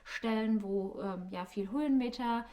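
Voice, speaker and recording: A woman's voice: on the high side, measured pace, mellow. A woman giving a casual, friendly explainer as a vlogger, searching for her words as she speaks directly to viewers. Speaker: YouTube vlogger. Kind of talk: casual informal explainer to camera. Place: living room.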